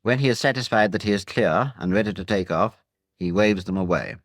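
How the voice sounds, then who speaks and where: A British man narrating a 1940s instructional film in a formal, measured, authoritative way. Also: fairly low pitch, no echo. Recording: 1940 film soundtrack, digitally cleaned.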